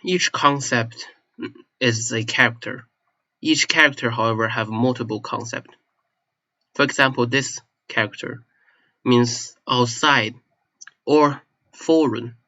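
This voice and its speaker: A man's voice: medium pitch, slow, long pauses, bright, forceful tone. A young man, a native Chinese speaker, giving a casual explanatory monologue in a hesitant, self-correcting way. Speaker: channel host. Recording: slightly dull lo-fi recording.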